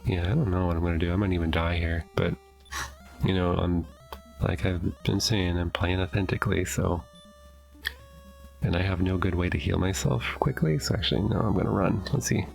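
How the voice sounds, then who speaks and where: A man's voice: low voice, fairly even intonation, brisk, creaky, soft background music. A middle-aged man with a stuffy nose, casually thinking aloud while commenting on his gameplay from his home basement. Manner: thinking aloud, hesitant, self-correcting. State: stuffy, sniffling. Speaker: let's play host.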